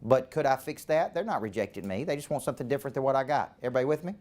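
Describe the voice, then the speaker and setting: A man's voice: medium pitch, very fast, a little mellow. A man with a Southern American accent coaching a live audience in a punchy seminar style, firing off rhetorical questions and checking that the room is with him. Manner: punchy, keeps checking in with the crowd. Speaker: business coach.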